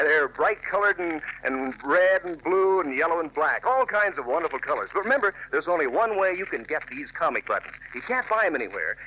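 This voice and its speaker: A man's voice: fairly high pitch, pushed and bright. An American man delivering a 1940s radio commercial, folksy and enthusiastic, as if talking to children. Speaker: radio announcer reading a sponsor ad. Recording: dull, lightly noisy 1940s radio recording.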